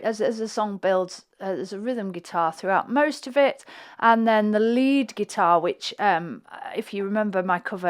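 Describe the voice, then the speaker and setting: Woman, slightly croaky, a flutist casually walking viewers through her own mix, middle-pitched voice. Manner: chatty, thinking aloud while clicking through tracks. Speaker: flutist and home producer. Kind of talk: talking through a music session on screen.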